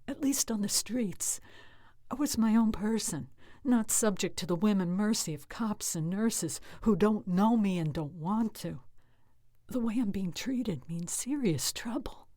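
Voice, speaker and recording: A woman's voice: medium pitch, dry recording. Woman acting a frightened, bitter patient, dry close sound. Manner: emotive, hushed at fearful moments. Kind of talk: dramatic first-person short story reading.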